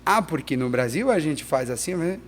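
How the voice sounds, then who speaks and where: Animated pastor, higher-pitched man. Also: moderate pace, a little hiss or hum. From Peru and Brazil. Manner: animated, emphatic, restarts often. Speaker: missionary pastor, guest. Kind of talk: conversational interview.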